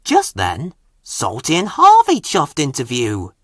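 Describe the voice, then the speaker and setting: Animated, unhurried male storybook reader. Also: on the high side, no echo, studio quality. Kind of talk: scripted children's story narration.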